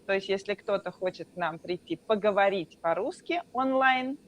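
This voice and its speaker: A woman from Russia, a Russian teacher, explaining things calmly in a casual interview with drawn-out fillers. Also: medium pitch, lo-fi sound, slight background noise.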